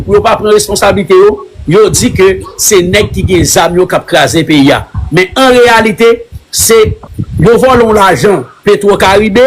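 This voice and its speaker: A Haitian man with a noticeably high voice speaks hesitant French, full of repeated words and Creole expressions. He answers an interviewer's questions in a live online chat. A faint hiss and a few clicks sit behind him. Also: rough recording. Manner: halting, repetitive French, with many Creole words.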